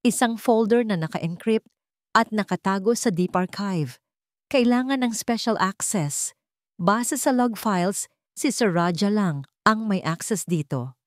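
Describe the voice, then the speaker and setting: A man's voice: high-pitched, unhurried, no echo, clean studio sound. A woman voicing a polite, deferential young male IT expert in a storybook narration. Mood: earnest. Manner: polite, respectful.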